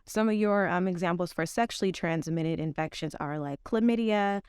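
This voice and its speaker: A woman's voice: fairly low pitch, normal speed, a little mellow, clean tone, dry recording. A young woman, a health educator, speaking on a podcast in a thoughtful way, with many fillers. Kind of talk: informational podcast talk.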